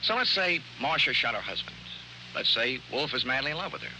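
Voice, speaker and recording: Hardboiled american private eye, sarcastic, voice jumps around, old hissy radio show. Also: male voice, medium pitch, lively intonation, brisk, sharp tone. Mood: reasoning, urgent. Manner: sardonic, punchy, slangy delivery. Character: wisecracking, hard-boiled male private investigator. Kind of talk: 1940s American radio detective drama acting. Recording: noisy, hissy 1940s radio recording.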